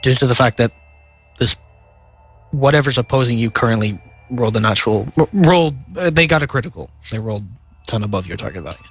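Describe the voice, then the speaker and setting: A young man with a nasal voice, narrating a tabletop game as game master, halting and filling pauses with "uh" and "like". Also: medium pitch, lively intonation, breathy edge, faint music behind. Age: young adult.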